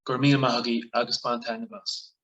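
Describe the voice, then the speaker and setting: A man's voice: mid-range voice, little pitch movement, fast talker, a bit bright, muffled recording, slight background noise. A man with an Irish accent giving a formal scripted introduction as an event presenter. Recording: echoey room sound.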